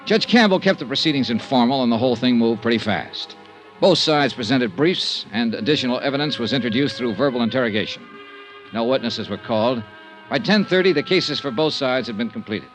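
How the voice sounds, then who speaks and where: A man's voice: medium pitch, animated, brisk, faint music behind, natural voice, rough recording. An actor playing a cynical male insurance investigator with a wry, clipped delivery in a vintage radio drama. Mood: brisk, matter-of-fact. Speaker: lead actor and narrator. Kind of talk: 1950s radio detective acting.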